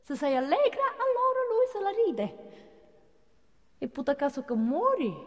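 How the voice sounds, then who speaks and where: An actress playing a chatty, folksy working-class gossip in a theatrical radio monologue. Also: female voice, sits high. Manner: colloquial, with tag questions and exclamations. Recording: long hall reverb of a stage.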